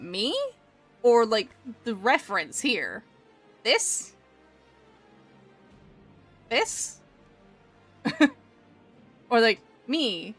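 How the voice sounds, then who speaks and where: A woman's voice: fairly high pitch, a bit bright, dry recording. A woman from Scotland with a mixed, Canadian-leaning North American accent, chatting casually and in a giggly way as a livestreamer. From Edinburgh, Scotland. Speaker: streamer. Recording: music behind the voice. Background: background music.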